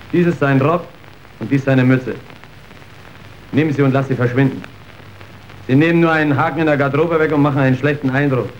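A man's voice: fairly high pitch, highs cut off, slight background noise, lightly acted. An actor playing an older, callous factory foreman, precise and secretive, in a radio drama.

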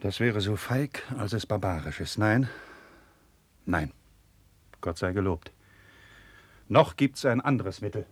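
A man's voice: fairly low pitch, expressive, slow, pauses often, slightly rough, dry recording, heavily acted. An actor playing a resolute, commanding nobleman in a declamatory 1950s German classical radio drama style. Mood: tormented resolve. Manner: declamatory, imperious, forceful. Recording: dry 1950s radio studio recording.

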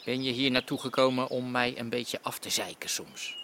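An actor in an audio drama playing a greedy, vengeful crime boss who threatens, sneers and demands. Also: male voice, mid-range voice, moderate pace, pushed and bright, slight background noise. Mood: cold menace.

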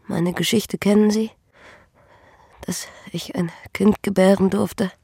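Breathy, husky dying woman. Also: medium pitch, fairly even intonation, takes it slowly, warm tone, no room sound, clean studio sound, heavily acted. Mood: grave, confiding. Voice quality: breathy, weak whispery voice. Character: dying young peasant woman, sorrowful, bitter, wronged. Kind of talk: German radio play acting.

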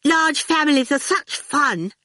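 A woman voicing a prim, gushing woman in an audiobook, brightly sweet and fawning. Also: high voice, animated, a little slow, no echo, voice pushed up, full character voice. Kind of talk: audiobook character voice.

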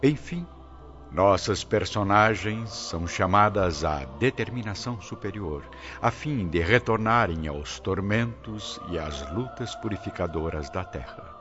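A man's voice: deep voice, expressive, measured pace. A man with a Brazilian accent narrating a radio drama from a script in a solemn, literary, declaimed manner. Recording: dull audio, faint music behind.

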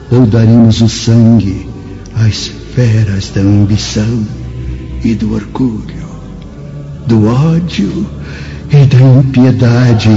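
Um homem interpretando um velho e sábio mestre espiritual, paternal e sereno, que prega com solenidade em radionovela religiosa. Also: male voice, medium pitch, warm tone. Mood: solemn, resolute. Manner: grave, preaching, measured. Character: wise old male spirit master, serene and fatherly. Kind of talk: solemn religious radio drama acting. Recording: dull radio drama recording, music behind.

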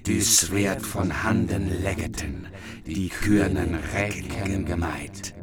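Ein Mann trägt als Rezitator altertümliche Epenverse in einem Hörspiel vor. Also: male voice, deep voice, slow pace, crowd noise. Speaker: epic reciter. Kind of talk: slow recitation of Middle High German verse.

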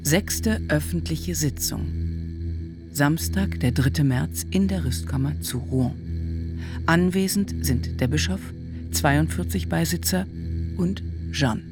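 A narrator formally reading out trial records in a radio play, in measured scripted delivery. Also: mid-range voice. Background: music.

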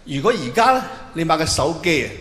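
Male stand-up comedian, Hong Kong Cantonese accent, high swooping pitch, colloquial and teasing, echoey live hall. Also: brisk. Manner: animated, colloquial, teasing rhetorical questions to the audience. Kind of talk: stand-up comedy monologue, nostalgic observational. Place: on stage in a large hall. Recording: echoey hall, faint noise, live show.

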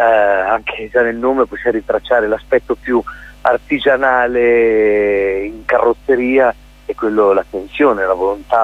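A male theatre director and playwright being interviewed on radio, explaining his production in articulate lists with hesitant pauses. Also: lowish voice, no room sound, phone-quality sound.

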